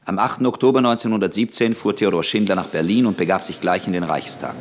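An actor as the matter-of-fact narrator of a historical radio drama, telling it in literary prose. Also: male voice, low voice, quick pace, background noise, lightly acted. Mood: factual. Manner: factual, literary storytelling.

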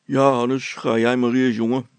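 A man's voice: mid-range voice, flat delivery, unhurried, clean tone, no room sound, close to an everyday voice. A man voicing a reluctant, wry village policeman in an audiobook story, with a folksy, grumbling way of talking.

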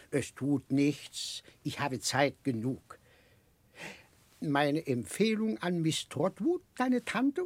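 Casting: gentle, addled older gentleman, slow and husky, swooping pitch, confiding childlike manner, vintage German radio play. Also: dry recording, full character voice. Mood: resigned, untroubled. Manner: chuckling, halting, confiding, rambling. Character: kindly, muddle-headed grey-haired eccentric gentleman, childlike and confiding. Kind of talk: 1960s German radio drama acting.

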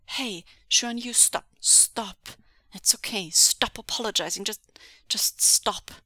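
A woman's voice: mid-range voice, lively intonation, moderate pace, bright, forceful tone, no room sound. A woman voicing a defiant, protective young man in a story, with an urgent, sardonic manner. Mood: urgent concern. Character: defiant, protective young male captive hero.

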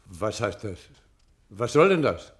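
An actor playing an uneasy, reluctant professor in a German radio play, hesitant and guarded in manner. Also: male voice, on the low side, sing-song, unhurried. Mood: wary, puzzled. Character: uneasy, reluctant male professor visiting an institution.

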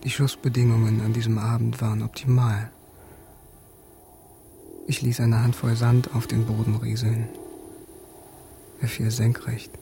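A man's voice: medium pitch, little pitch movement, a little slow, vocal fry, long pauses, mellow, slight background noise. An actor playing a detached army sniper who recounts his kills in a matter-of-fact, clinical way, as radio drama narration. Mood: cold, detached. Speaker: narrator and main character.

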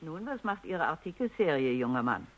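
A woman's voice: deep voice, sing-song, a little fast, exaggerated voice. An actress playing a dryly ironic elderly woman scientist, speaking precisely in a radio drama. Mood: teasing. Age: older. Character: sharp, dryly ironic elderly woman robot psychologist, reminiscing. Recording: dull vintage radio recording.

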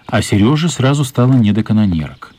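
An actor as the narrator of a children's radio play, reading scripted storybook prose. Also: male voice, fairly low pitch, very animated, fairly quick, warm tone. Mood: measured.

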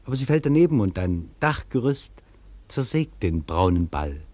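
A male actor playing a reflective traveller, delivering a literary radio monologue in a measured, poetic, declamatory way. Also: lowish voice, big pitch swings, darkish tone, slight background noise. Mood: awed, contemplative. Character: reflective male traveller marvelling at a vast foreign city. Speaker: actor reading a traveller's first-person account in a radio feature.

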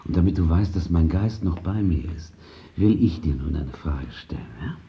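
A man's voice: deep voice, animated, moderate pace, highs cut off. An actor in a radio drama playing a battered, suffering man of stubborn faith who argues dryly. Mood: dry, challenging.

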